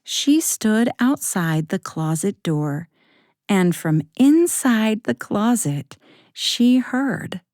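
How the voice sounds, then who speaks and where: A woman narrating a children's bedtime story in a gentle, expressive way, speaking straight to young listeners. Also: medium pitch, very slow, no echo, studio quality. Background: music.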